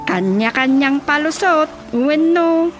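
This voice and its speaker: High-voiced, grumbling village councilwoman, Ilocano. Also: lively intonation, slow pace, faint music behind. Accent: Filipino, Ilocano. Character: female village councilor, grumbling to her chairman. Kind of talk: radio drama comedy acting.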